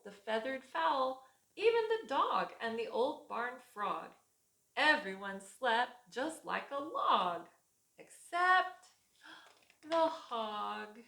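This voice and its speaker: A woman preschool teacher reading a storybook aloud to young children in a rhythmic, expressive way. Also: a little high, gravelly. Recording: echoey room.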